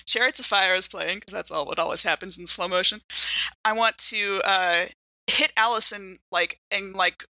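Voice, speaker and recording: A woman talks casually as a player in a tabletop game, working out her moves aloud. Her voice is mid-pitched and notably bright. It is recorded dry and close, without room echo. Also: fairly even intonation, moderate pace. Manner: thinks aloud with frequent "like". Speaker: player in an actual-play podcast. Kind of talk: casual chat, improvised game description.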